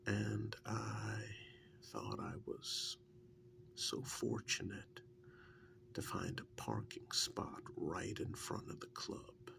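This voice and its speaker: A man playing a wry, affectionate partner reminiscing in a soft-spoken, intimate ASMR role-play, halting and musing, breathy and often slipping into a whisper. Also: low-pitched, noisy background, exaggerated voice. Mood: fond, wistful.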